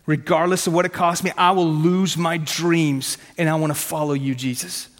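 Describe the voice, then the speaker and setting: Man, 30s, Farsi first language: brisk, chatty preacher, voice a touch high for a man, long hall echo. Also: steady tone. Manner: conversational and punchy, asks questions, jokes at himself. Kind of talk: sermon with personal testimony.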